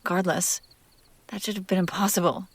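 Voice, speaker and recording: A woman's voice: fairly low pitch, level tone, fairly quick. A woman playing a possessive, sardonic and curious female demon in an intimate, wry audio roleplay spoken to the listener. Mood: baffled, irritated. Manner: teasing, wry, conversational, breaking into hushed whispers.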